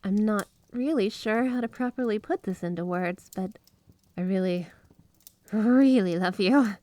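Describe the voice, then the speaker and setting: A woman's voice: mid-range voice, mellow, no room sound, natural voice. Woman acting a gushing, obsessively devoted yandere wife, close-miked. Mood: loving, devoted. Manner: gushing, breathy giggles, drawn-out emphasis on words. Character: flirty, obsessively devoted yandere wife with magic snow powers. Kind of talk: intimate ASMR roleplay monologue to the listener.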